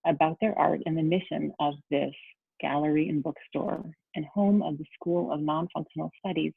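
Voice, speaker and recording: A woman's voice: sits low, creaky, slightly rough, dry recording. A woman hosting a video call interview as an arts center director, curious and stumbling a little over her words. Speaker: host, artistic director. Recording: tinny phone-like audio.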